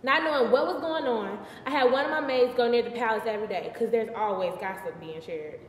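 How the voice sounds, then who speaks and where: A woman's voice: on the high side, fairly quick, natural voice. A young woman performing a live theatrical monologue as an indignant, wry biblical queen, conversational and emphatic. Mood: anxious. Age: early 20s. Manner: conversational, sassy, emphatic, exasperated. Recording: distant mic, echoey hall, faint noise.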